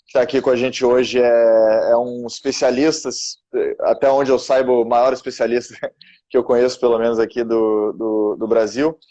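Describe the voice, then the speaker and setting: A man's voice: mid-range voice, darkish tone, lo-fi sound. A man hosting a casual interview, chatty and hesitant with filler words. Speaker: podcast host.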